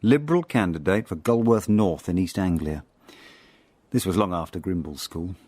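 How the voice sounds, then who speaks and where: A man acting a reflective middle-aged spy, narrating his past in a radio drama in a measured, wry, literary way. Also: deep voice, a little mellow, dry recording. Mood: wry, wistful.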